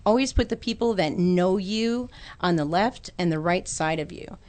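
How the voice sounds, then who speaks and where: A woman's voice: fairly low pitch, moderate pace, darkish tone. Cheeky, joking woman guest. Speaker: guest entrepreneur. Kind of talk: casual radio interview.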